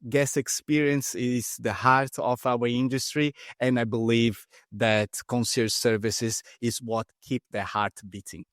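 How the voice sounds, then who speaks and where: A male podcast host introduces his guest and leads the talk. He speaks eagerly and stresses his points. His voice sits at a middle pitch, and the sound is close and dry, with no room echo. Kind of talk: interview hosting.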